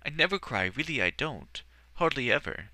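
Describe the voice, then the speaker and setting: A man's voice: mid-range voice, big pitch swings, brisk, bright, forceful tone, no room sound, voice pushed up. A man voicing an earnest, emotional young boy in a storybook reading, eager and wavering. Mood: tearful.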